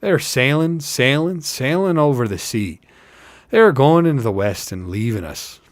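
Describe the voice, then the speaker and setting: Storybook hobbit labourer, played by a man: Irish accent, earnest, folksy, emphatic, pitched up and very lively. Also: unhurried, dry recording. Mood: solemn half-chant. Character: earnest simple working hobbit, wonder-struck male tale-teller. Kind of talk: audiobook-style fantasy character reading.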